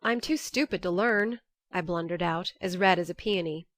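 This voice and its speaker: A woman narrates a classic novel in the first person, measured and expressive. Her voice sits slightly low and is recorded very dry and close, without room sound. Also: no room sound. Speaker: audiobook narrator.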